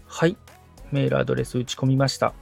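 Male podcast learner, medium pitch with vocal fry. Polite, agreeable, conversational, music underneath. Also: steady tone, fast talker. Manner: agreeable, attentive listener. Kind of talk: polite conversational replies while following instructions.

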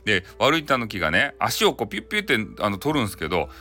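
A man's voice: on the low side, sing-song, very fast, sharp tone. A man with a Hakata accent telling a bedtime folktale in a casual, chatty, colloquial way. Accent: Hakata, Japan. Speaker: storyteller.

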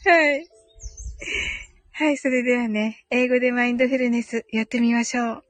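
Giggly, high-voiced woman chatting. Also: steady tone, normal speed, a bit bright, dry recording. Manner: giggly, thinking aloud. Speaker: live audio show host. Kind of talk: casual chat with live listeners.

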